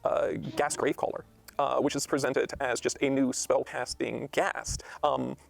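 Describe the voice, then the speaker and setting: A man's voice: medium pitch, level tone, measured pace, gravelly, no echo. A man talking as a game designer in a discussion, explaining with enthusiasm. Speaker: game designer presenting new books. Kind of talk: interview discussion.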